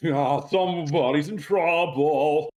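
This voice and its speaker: Guy doing a snide creature dude, bit high pitched, curt and brusque, table read. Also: takes it slowly, darkish tone. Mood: mocking, sullen. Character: brusque creature males: gruff coach, snide teenage brother.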